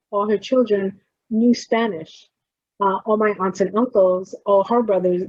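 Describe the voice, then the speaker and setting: A woman's voice: on the low side, darkish tone, slightly rough, no room sound. A woman with a New Jersey accent, a poet chatting casually as she leads a workshop over a video call and trails off while she works through screen sharing. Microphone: computer mic on a video call. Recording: dull, lo-fi video call audio.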